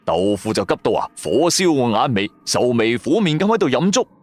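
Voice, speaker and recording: A man with a Cantonese accent telling a scripted first-person story in a colloquial, expressive style. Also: medium pitch, fairly quick. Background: music.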